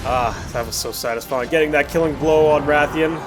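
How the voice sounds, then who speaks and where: Higher-pitched man narrating gameplay over music. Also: a little fast. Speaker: host of a gameplay series. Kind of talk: casual post-recorded game commentary. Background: music under the voice.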